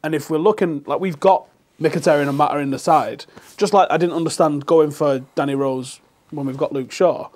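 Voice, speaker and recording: A man's voice: a little high, a little mellow. A man on a football panel giving his opinions in casual chat. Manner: quick, opinionated.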